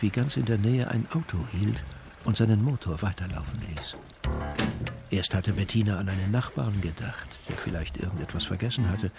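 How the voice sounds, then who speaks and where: An actor narrating a suspenseful radio crime story in a measured, serious way. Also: male voice, lowish voice, gravelly. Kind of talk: scripted crime drama narration.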